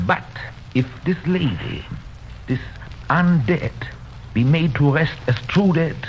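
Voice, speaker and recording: Grave old-radio vampire hunter doc, halting and deliberate, dull faintly hissy recording. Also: male voice, a little high. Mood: solemn. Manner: solemn, deliberate, halting foreign phrasing. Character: grave learned foreign vampire hunter doctor, solemn and commanding. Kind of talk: 1930s radio drama acting.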